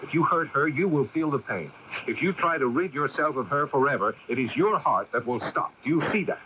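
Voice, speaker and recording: Earnest probing young guy, sharp lowish voice, old radio play, slight static. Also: animated, muffled recording. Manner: halting, pleading, intent. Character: earnest, probing young man, gently mediating. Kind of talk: 1980s radio drama acting. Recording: noisy 1980s AM radio broadcast.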